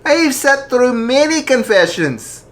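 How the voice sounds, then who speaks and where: A storyteller voicing a tall, jolly older archbishop who speaks kindly and gently, pitched far above their own voice. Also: male voice, big pitch swings, takes it slowly, exaggerated voice.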